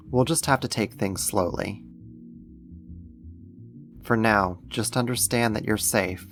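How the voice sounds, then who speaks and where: A man playing a gentle, doting alien-like being in a soft-spoken ASMR roleplay, coaxing and soothing as if to a pet, tender and a little condescending. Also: fairly low pitch, vocal fry.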